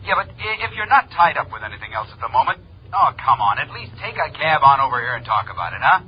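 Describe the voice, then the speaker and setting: A dull, vintage radio sound carries an American insurance manager on a call. His voice is very husky, and he coaxes and placates in an earnest, slightly hesitant way. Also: medium pitch, very animated, quick pace. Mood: coaxing. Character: earnest, coaxing male insurance company manager. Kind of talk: 1950s radio drama acting, phone conversation. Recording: muffled vintage radio, phone-call scene.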